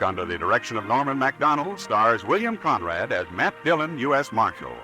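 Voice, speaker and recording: A man's voice: medium pitch, bright, forceful tone, poor audio. A man reading formal closing credits as a radio announcer. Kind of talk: scripted announcement.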